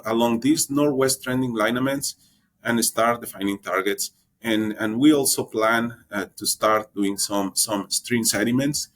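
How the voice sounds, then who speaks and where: A man explaining exploration plans in an interview, halting and often repeating words as he searches for them. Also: lowish voice, a bit bright. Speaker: exploration geologist guest.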